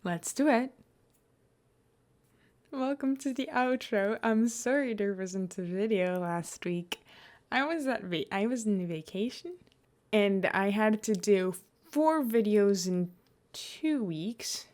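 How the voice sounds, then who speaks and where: A woman's voice: medium pitch, lively intonation, slow, a little mellow. A young woman chatting casually to listeners as a host, hesitant, often trailing off and correcting herself. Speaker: podfic reader and host. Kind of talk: casual rambling chat to listeners.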